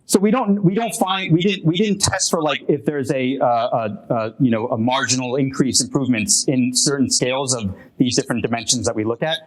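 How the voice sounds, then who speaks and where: A man's voice: medium pitch, a little fast, few pauses, low-quality recording. Hesitant man answering questions at a workshop. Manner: hesitant, many restarts and fillers. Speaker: presenter answering audience questions. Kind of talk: academic Q&A at a workshop. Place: conference room.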